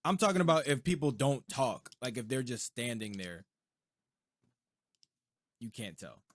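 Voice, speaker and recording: A young man co-hosting a podcast in casual chat, rambling and quick to laugh. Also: mid-range voice, big pitch swings, no echo. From United States. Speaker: podcast co-host.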